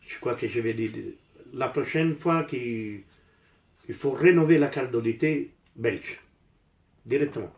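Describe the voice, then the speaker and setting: A man's voice: mid-range voice, measured pace, darkish tone. Older Italian-accented man, roomy echo. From Italy. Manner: blunt, emphatic, repeats phrases. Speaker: amateur DIY vlogger. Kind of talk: casual rambling chat while doing repairs.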